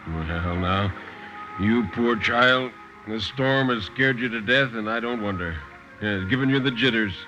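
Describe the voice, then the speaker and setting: Man acting a low-voiced, breezily dismissive husband. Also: a little slow, music in the background, natural voice. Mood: soothing, patronizing. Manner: soothing, patronizing, casual. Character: stocky, tired, cheerfully dismissive husband. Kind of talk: 1950s radio acting. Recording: dull, lo-fi 1950s radio recording.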